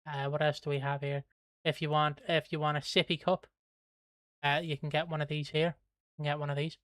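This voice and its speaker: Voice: mid-range voice, level tone, fairly quick, fairly bright tone, no room sound. An Irish host from Kildare chatting casually on a livestream, rambling, swearing and laughing while reading viewer comments. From Ireland. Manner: plugs merch in a breezy, offhand way.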